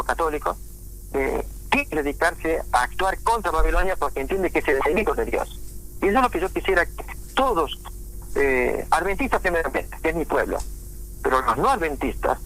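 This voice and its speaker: A man preaching informally in a halting way, with frequent restarts and fillers. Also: on the high side, fairly bright tone, poor audio. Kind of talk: informal sermon talk on prophecy.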